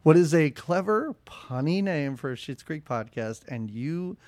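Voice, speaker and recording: A man with a somewhat high, nasal voice, chatting as a podcast guest. He is self-deprecating and laughs at his own jokes. The sound is dry and close. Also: lively intonation, a little slow, a little mellow, no echo. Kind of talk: casual podcast chat.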